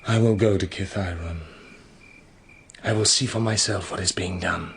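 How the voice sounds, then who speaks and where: A man's voice: sits low, fairly even intonation, moderate pace, slight background noise. An actor playing a stern, suspicious, authoritarian king in an audio drama, speaking in a clipped, commanding way. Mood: scornful resolve.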